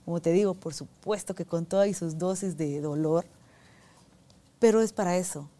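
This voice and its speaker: A woman guest, talking reflectively in a soft, unhurried way and pausing to search for words. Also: lowish voice. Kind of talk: reflective conversational talk.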